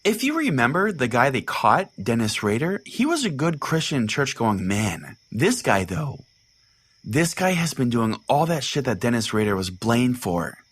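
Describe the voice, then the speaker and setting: Man playing a husky, pompous pastor radio host. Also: mid-range voice, sing-song, moderate pace, fairly bright tone. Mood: smug, conspiratorial. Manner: foul-mouthed, pompous, dismissive. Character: crude, self-righteous small-town pastor hosting a radio show. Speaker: podcast host and storyteller. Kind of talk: comedic storytelling in radio call-in format.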